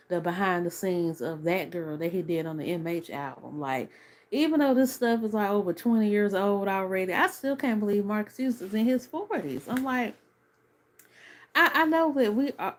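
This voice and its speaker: A woman in her thirties giving a casual, opinionated video review, chatty and full of fillers. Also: mid-range voice. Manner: chatty, many fillers like "like" and "you know"; earnest, opinionated. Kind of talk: casual commentary to camera.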